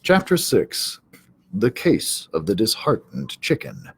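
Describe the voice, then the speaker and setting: Man reading stories with lively, expressive pitch. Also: slow pace, vocal fry, breathy edge, no echo. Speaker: library storyteller reading aloud. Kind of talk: expressive children's story narration.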